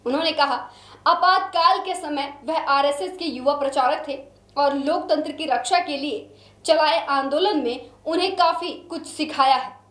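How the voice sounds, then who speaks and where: A woman with a high voice reads the news as a presenter. Her delivery is scripted and dramatic, with emphatic, solemn phrasing. The recording sounds roomy and echoey. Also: measured pace, fairly bright tone.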